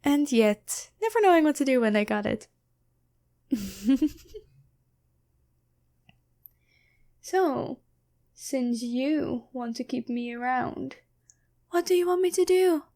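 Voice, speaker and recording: A woman's voice: high-pitched, expressive, unhurried, dark tone. A woman voicing a taunting female demon in a possessed girlfriend's body, teasing and coolly bargaining in an ASMR fantasy roleplay. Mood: curious, amused.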